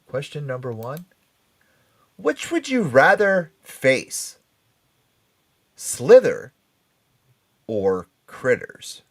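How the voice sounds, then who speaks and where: Man, lively varied intonation, casual host banter, halting, repetitive phrasing. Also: mid-range voice. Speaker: podcast and radio show host. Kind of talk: casual pop culture talk show banter.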